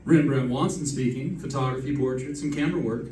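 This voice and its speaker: A man's voice: mid-range voice, fairly quick, a little mellow, lightly acted. A man playing a pompous, tipsy photographer sidekick in an old-time radio drama, talking in a flowery, arch, theatrical way. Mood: tipsy, grandiose. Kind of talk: old-time radio comedy-mystery acting. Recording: echoey room, distant, background noise.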